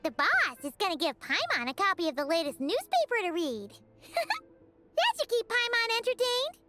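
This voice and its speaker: A woman voicing a tiny, excitable, childlike female companion in a video game, with a squeaky voice. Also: normal speed, loud music behind the voice. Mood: cheerful, excited. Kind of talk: video game character dialogue.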